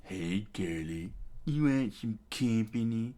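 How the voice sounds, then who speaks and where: A man's voice: on the low side, animated, slow pace, warm tone. A man playing a leering, demented bandit. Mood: leering menace. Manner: taunting, exclaiming, cackling. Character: demented, leering male bandit kidnapper, menacing and gleeful. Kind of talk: hobby read-aloud of an adventure comic.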